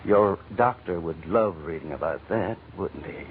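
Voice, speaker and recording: Menacing gangster, swinging delivery, old radio. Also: male voice, deep voice, lively intonation, a little slow. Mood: threatening. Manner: tough slangy talk, taunting and bossy. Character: menacing male gambler and killer, slyly threatening. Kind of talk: old-time radio crime drama acting. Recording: muffled, phone-like old radio recording, noisy.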